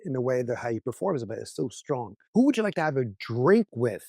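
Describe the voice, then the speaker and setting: A male podcast host chats in a loose, rambling way, doubling back and restarting his sentences. His voice is mid-pitched and sounds dry and close to the mic. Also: sing-song, quick pace, vocal fry, darkish tone, dry recording. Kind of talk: casual interview chat.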